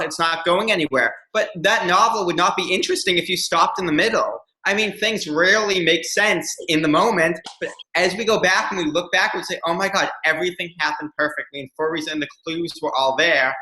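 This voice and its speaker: Interview guest: young man, earnest and reflective, crisp bright voice, roomy echo. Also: a little high. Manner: earnest, reflective, many ums. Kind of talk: candid personal storytelling.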